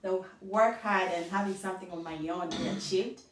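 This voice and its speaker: A young woman with a Ugandan accent chats quickly and easily, laughing often as she talks. She sounds a little far from the mic, in an echoey room. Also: mid-range voice, steady tone, a little hiss or hum. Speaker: co-host. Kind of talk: casual conversation.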